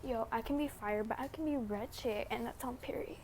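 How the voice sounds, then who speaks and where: A young woman giving casual, slangy, sweary and teasing commentary as a gaming vlogger, with unhurried delivery. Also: fairly high pitch, a little mellow, a little hiss or hum.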